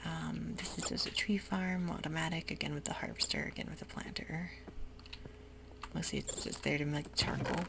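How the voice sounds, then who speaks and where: A woman whispering softly through a relaxed, rambling ASMR game commentary. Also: low-pitched, normal speed, gravelly. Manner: soft whisper, rambling and easygoing. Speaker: YouTuber playing a game. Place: home room with sprinklers outside.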